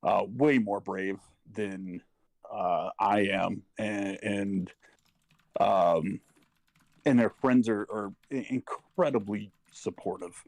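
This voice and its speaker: A middle-aged man speaking personally and earnestly, haltingly searching for his words. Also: lowish voice, creaky, a little mellow, no echo. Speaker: parent speaking as a panel guest. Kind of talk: personal testimony in casual talk.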